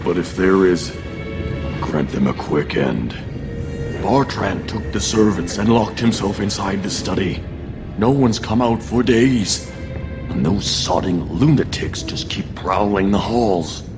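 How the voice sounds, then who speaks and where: Casting: weary servant in fantasy game, low voice, grave and bitter, over music. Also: male voice, a little slow. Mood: grim, bitter. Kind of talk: dramatic video game voice acting.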